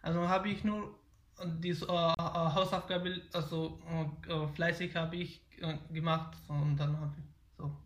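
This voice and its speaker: Young man from Afghanistan, Pashto native speaker, flat and rather high voice, many pauses, echoey room. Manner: hesitant, frequent fillers and restarts. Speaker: interviewee, German learner. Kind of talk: interview about learning German.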